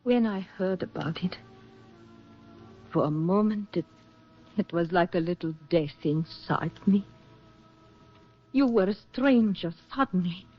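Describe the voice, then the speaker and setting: A woman's voice: on the low side, faint music behind. An actress playing a devoted, anxious woman in love in a 1940s radio drama, speaking with earnest, tender emotion. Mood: anguished, tender. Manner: earnest, emotional, measured delivery. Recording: muffled, husky 1940s radio recording.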